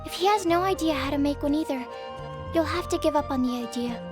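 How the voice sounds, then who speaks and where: A woman's voice: high voice, fast, natural voice. Woman voicing a shy young female alchemist. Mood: polite. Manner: timid, apologetic, stammers. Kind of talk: video game cutscene dialogue. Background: game music.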